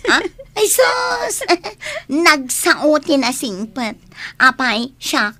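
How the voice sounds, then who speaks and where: A woman's voice: high voice, sing-song, extremely slow, full character voice. A woman playing a teasing, dramatic young student in a radio drama, with exaggerated delivery. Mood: teasing, mock-hurt. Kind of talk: Filipino radio drama acting.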